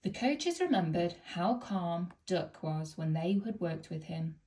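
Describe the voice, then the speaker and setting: A woman reading a children's picture book aloud in a gentle, expressive storytelling manner. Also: lowish voice, measured pace.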